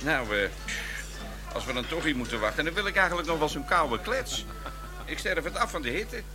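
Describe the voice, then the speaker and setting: A man's voice: on the high side, sing-song, fairly quick. An actor playing an earnest, plain-spoken Dutch policeman in a radio drama, with a Dutch accent. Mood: weary, grumbling. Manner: plain-spoken, simplified English to foreigners. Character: earnest, squeamish Dutch policeman on a case abroad. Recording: music behind the voice. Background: music.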